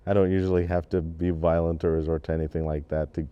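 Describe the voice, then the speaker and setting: A man's voice: sits low, little pitch movement, fast talker, clear, resonant tone, highs cut off. A young man talking casually in an interview as a student actor, plain-spoken and a little hesitant. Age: young adult.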